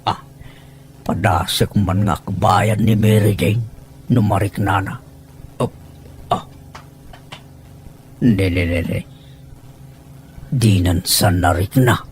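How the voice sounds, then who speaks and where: A man's voice: low voice, fairly even intonation, slow, pauses often, slight background noise. A man with a Filipino accent playing a sly, lecherous older village man in an audio drama, coaxing and exclaiming as he goes. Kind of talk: Ilocano audio drama acting. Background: background music.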